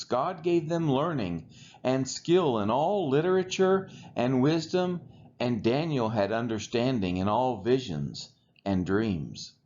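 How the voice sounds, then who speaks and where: A man reading the Bible aloud from the text in a measured, deliberate way, phrase by phrase. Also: medium pitch, a little mellow, dull audio. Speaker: scripture reader.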